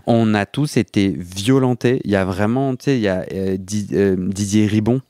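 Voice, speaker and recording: A man in his late thirties with a Parisian accent, hosting an intimate podcast interview in a reflective, animated, casual way. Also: lowish voice, moderate pace, no room sound, studio quality. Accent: Parisian French. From mainland France. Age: 30s. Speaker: podcast host.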